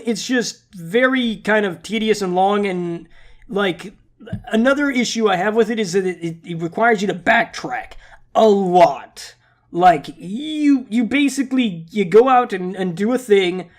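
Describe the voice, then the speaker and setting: Congested young man rambling playfully. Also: sits high. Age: young adult. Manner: rambling, playful, self-deprecating. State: congested, slightly scratchy and nasal. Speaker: gaming channel host. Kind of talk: casual channel update vlog.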